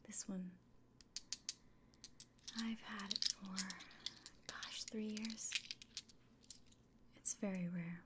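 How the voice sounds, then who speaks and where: A woman playing a friendly fantasy innkeeper in a soft, breathy, often whispered ASMR roleplay, speaking gently and without hurry. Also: mid-range voice, fairly even intonation, heavy background noise. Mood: quietly proud. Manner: gentle, unhurried, caring. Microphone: close microphone.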